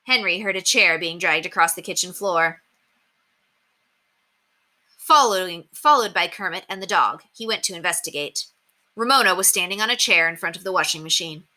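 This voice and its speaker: A woman narrates a children's book in an expressive, animated read-aloud style. Her voice sits a little low for a woman and has a bright, forceful edge. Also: moderate pace. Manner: animated, acts out dialogue.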